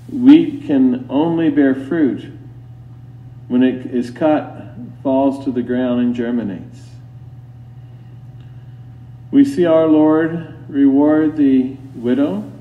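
Slow, solemn male priest preaching, distant and echoey. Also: mid-range voice. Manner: solemn, deliberate, admonishing, with long pauses. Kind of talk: sermon. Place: inside a church. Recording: roomy church, distant mic, dull, faint hum.

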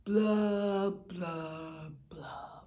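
A woman's voice: medium pitch, steady tone, very slow, mellow, voice pushed down. A woman voicing a gloomy male fish with a droning, mopey voice in a storybook reading. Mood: glum. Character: glum male pout fish, gloomy and sulky.

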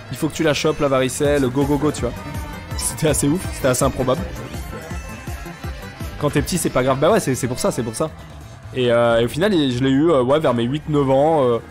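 A young man with a stuffy nose, chatting casually and joking deadpan with viewers while he streams a game. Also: mid-range voice. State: congested, sick with sinusitis. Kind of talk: casual live-stream chat while gaming.

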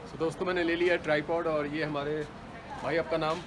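A man's voice: on the high side, level tone, a little fast, loud music behind the voice. A young man chatting casually to viewers as a vlogger. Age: young adult. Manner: chatty, addresses viewers as friends. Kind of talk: casual travel and shopping vlog. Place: street, shop and home.

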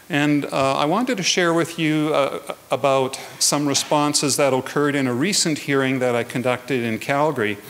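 A man gives a prepared public talk in a measured, earnest way. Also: medium pitch, a bit bright. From Canada. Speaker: guest speaker, hearing adjudicator. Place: indoors at a lectern in a hall.

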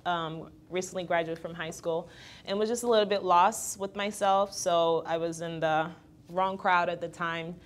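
Candid woman telling her story, lowish voice. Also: normal speed. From Guyana. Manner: candid, laughs easily, quotes others in lively voices. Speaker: guest, fitness trainer and entrepreneur. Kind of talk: casual interview conversation.

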